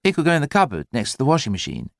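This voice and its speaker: A man voicing an anxious, practical new father in an audiobook, with a brisk, dryly ironic manner. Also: on the high side, huge pitch swings, vocal fry, no echo, clean studio sound, voice pushed up, heavily acted. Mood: fretful, brisk. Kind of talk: audiobook character dialogue.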